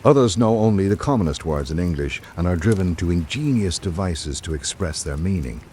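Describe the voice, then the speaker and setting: An actor playing a reflective literary traveller, narrating a radio drama in a measured, formal way. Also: male voice, deep voice, lively intonation, mellow, a little hiss or hum. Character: reflective literary traveller recalling island life. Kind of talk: scripted first-person narration.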